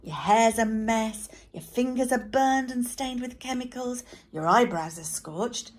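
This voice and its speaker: A man's voice: extremely high pitch, expressive, unhurried, pitched above the performer's own voice. A woman voicing an exasperated, protective father who scolds and blusters in a storybook reading. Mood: regretful scolding.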